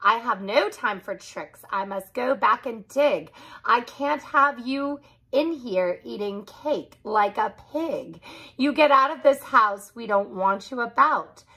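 An indignant, dutiful storybook child, acted by a woman, insistent and exasperated. The voice is bright with a husky edge. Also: on the high side, animated, takes it slowly, full character voice, rough recording. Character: indignant, dutiful child narrator scolding a cat.

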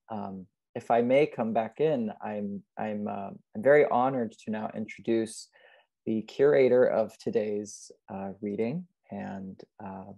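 A man's voice: lowish voice, gravelly, dark tone, dry recording. A young man introducing a poet as host, speaking hesitantly and deferentially on a video call. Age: young adult. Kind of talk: spoken introduction. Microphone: wired earphones mic.

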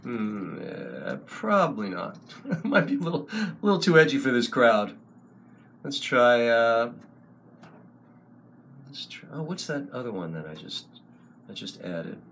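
An older man hosting a casual livestream from a small room, talking slowly into a close webcam, rambling with many ums and restarts. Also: medium pitch, sing-song, fairly bright tone, a little hiss or hum. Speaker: online service host and songwriter. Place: small room before a wooden wall, indoors. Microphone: webcam at close range.

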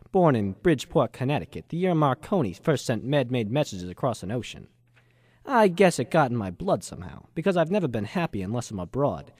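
A male actor as a hard-boiled American reporter in his thirties, with a very animated delivery and wisecracking slang. He narrates a noir radio drama in a dry, close recording. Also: mid-range voice, slightly rough, no room sound. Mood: wry, resigned. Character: wry, hard-boiled American ex-journalist of thirty-two in 1939.

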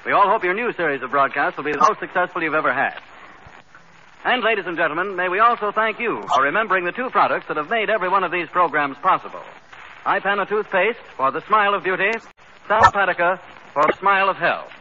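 Male American sponsor announcer, very sharp forceful tone, fast delivery, 1930s radio. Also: high-pitched, lively intonation. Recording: dull, faintly noisy 1930s radio recording.

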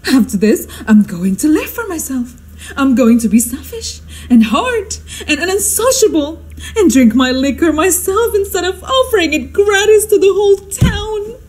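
Woman acting a histrionic, high-voiced society hostess. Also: big pitch swings, normal speed, darkish tone. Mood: exasperated, indignant. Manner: theatrical, exclamatory, flustered. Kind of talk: stagey radio drama acting.

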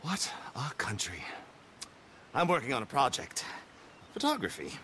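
An actor playing a genteel, nervous wildlife photographer in a game, fussy and self-deprecating in manner. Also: male voice, medium pitch, huge pitch swings, a little slow, pushed and bright, slight background noise, full character voice. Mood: chatty, wry. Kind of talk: dramatic game cutscene acting.

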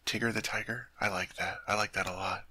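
A man's voice: fairly low pitch, steady tone, brisk, sharp tone, husky, dry recording, heavily acted. A man playing a sweet, naive teddy bear turned human in an ASMR roleplay, chatting earnestly to the listener as he puzzles over human things. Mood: curious, eager.

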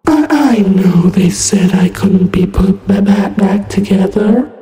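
A woman voicing a menacing, broken animatronic fox for fan-made game lines, stuttering and glitchy, halting and sinisterly sweet. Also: mid-range voice, dark tone, lightly acted. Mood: sweetly eerie. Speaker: amateur voice actor. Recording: echoey long reverb over music.

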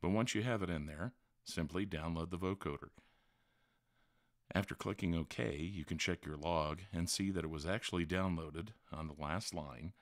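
A man's voice: sits low, moderate pace, no echo, clean studio sound. A man hosting a tutorial, narrating step-by-step instructions in a voice-over. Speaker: tutorial host. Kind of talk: how-to screen-recording narration.

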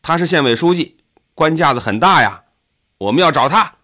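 A man voicing a defiant, rowdy factory worker in an audiobook, loud and challenging. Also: fairly high pitch, lively intonation, moderate pace, pitched above the performer's own voice. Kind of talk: audiobook character voice.

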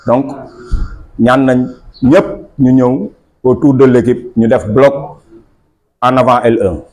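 A man with a Senegalese accent, the club's marketing officer, presenting team merchandise to camera in a steady selling tone. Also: mid-range voice, slow pace, low-quality recording. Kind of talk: promotional product presentation. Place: small office indoors.

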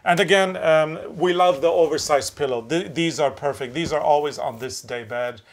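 A man's voice: on the high side, moderate pace. A middle-aged man, a native Norwegian speaker, chatting casually in English as he hosts a craft show-and-tell. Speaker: craft show host and designer. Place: small room with wood-panelled walls.

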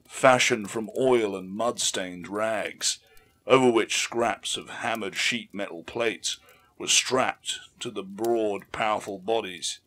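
Guy reading a fantasy battle story, lowish voice, solemn and deliberate, scripted and dramatic. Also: fairly bright tone. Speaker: audiobook narrator. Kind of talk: scripted dramatic fiction narration.

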